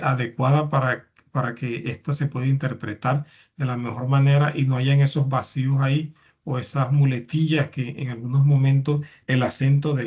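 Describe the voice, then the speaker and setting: A man's voice: medium pitch, darkish tone. A man giving a recorded university lecture, explaining step by step and often stumbling over repeated words and fillers.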